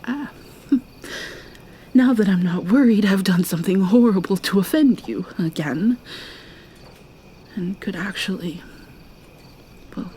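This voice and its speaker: A woman voicing a gentle young mermaid in an ASMR roleplay, speaking softly and hesitantly, often in a whisper. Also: mid-range voice, animated, measured pace, pauses often, a little hiss or hum, natural voice. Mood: shy.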